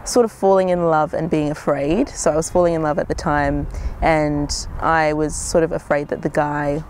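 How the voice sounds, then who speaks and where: A young woman from Zimbabwe, a singer-songwriter, speaking reflectively in an interview, often pausing with "um" and "sort of". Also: low-pitched, a little mellow, a little hiss or hum. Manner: thoughtful, hedging with frequent "um" and "sort of".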